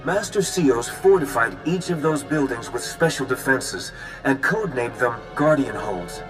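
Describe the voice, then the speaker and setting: Grave, determined male sci-fi army commander, mid-pitched, game briefing over clear music. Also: animated, measured pace, fairly bright tone, low-quality recording. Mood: resolute. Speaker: military officer giving briefing. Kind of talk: video game cutscene acting.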